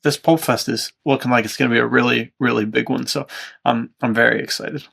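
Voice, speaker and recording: A man's voice: mid-range voice, fairly even intonation, fairly quick, gravelly, a bit bright, slightly rough. A man hosting a podcast in an enthusiastic, casual, chatty way. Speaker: podcast host.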